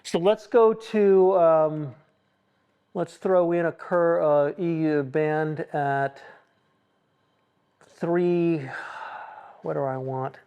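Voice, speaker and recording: Man with a fairly high voice, an instructor giving a hands-on technical demo, musing aloud and explaining in asides. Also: clean tone, highs cut off. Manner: halting, self-questioning, explanatory asides. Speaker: acoustics consultant leading a tuning workshop. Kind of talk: informal live technical demonstration, thinking out loud.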